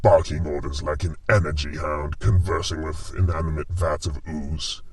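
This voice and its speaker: Man as a very deep, imposing warrior. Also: fairly even intonation, breathy edge, heavily acted. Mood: mocking. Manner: deliberate, imposing. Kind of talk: animated fan dub acting. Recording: music behind the voice.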